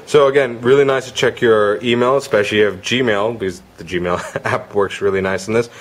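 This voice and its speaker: A man's voice: lowish voice, fairly even intonation, brisk. A man giving a casual, conversational product demo as a gadget reviewer.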